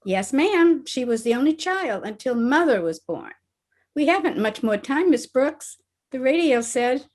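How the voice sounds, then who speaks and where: A woman playing an earnest, naive and excitable teenage schoolgirl in an old-time radio comedy. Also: high-pitched, unhurried, full character voice. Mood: earnest, muddled. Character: earnest, scatterbrained teenage schoolgirl, principal's daughter. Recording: remote video-call recording.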